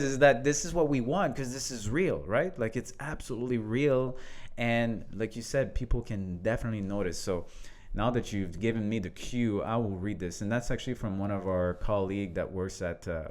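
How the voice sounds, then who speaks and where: A man with a French accent talking in a relaxed, reflective way about mindset and leadership, laughing now and then. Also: on the low side. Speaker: co-host, coach. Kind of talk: casual conversation.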